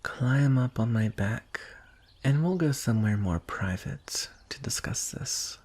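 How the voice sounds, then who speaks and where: A man's voice: medium pitch, animated, slightly rough. A man voicing a teasing, coaxing dragon with a smug streak of menace, in a fantasy ASMR roleplay. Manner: slow, coaxing, softly threatening.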